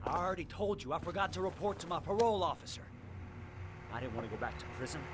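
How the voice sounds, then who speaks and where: A man's voice: on the high side, expressive, fast, lightly acted. A man playing a panicky, defensive ex-convict under questioning in a video game, pleading and agitated. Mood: panicked, defensive. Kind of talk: cinematic video game acting. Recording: noisy background ambience.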